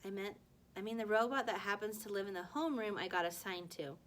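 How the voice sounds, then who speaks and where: A woman's voice: mid-range voice, fast talker, a bit bright, a little hiss or hum, close to an everyday voice. A woman voicing a defensive, exasperated middle-school boy in a children's novel, with a wry, protesting manner. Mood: flustered.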